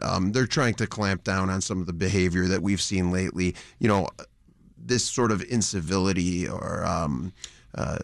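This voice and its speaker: A man's voice: low voice, no room sound. A man on a radio news roundtable in a studio, talking in a wry, storytelling way and chuckling as he goes. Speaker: reporter panelist.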